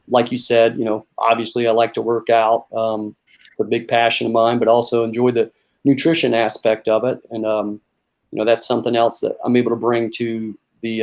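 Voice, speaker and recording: Young guy, flat even voice, laid back, lots of um and you know. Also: mid-range voice. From North Carolina. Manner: relaxed, with many fillers and repeated words. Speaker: strength and conditioning coach. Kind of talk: casual interview answers.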